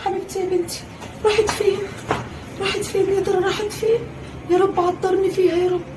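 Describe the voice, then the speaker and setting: A young woman with an Egyptian Arabic accent playing a fussy stepmother in a home-made family skit, with high, rapid, exclamatory talk. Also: noisy background, close to an everyday voice. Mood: panicked. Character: fussy stepmother, doting then scolding. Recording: voice a little far from the mic.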